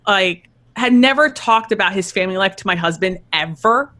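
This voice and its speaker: A woman's voice: mid-range voice, lively intonation, normal speed, sharp tone. A woman in her thirties, a matchmaker on a podcast, chatting casually and explaining with stories. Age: 30s. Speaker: matchmaker guest.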